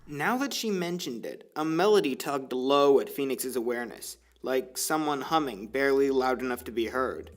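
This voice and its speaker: A man's voice: medium pitch, animated, normal speed, hardly any pauses. A man reading scripted story narration for an audio drama. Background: faint music.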